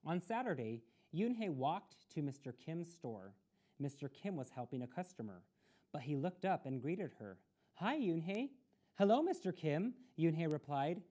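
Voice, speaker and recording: A woman with a low, mellow voice reads a picture book aloud for children. Her delivery is deliberate and expressive, with a very animated melody. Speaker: storytime reader.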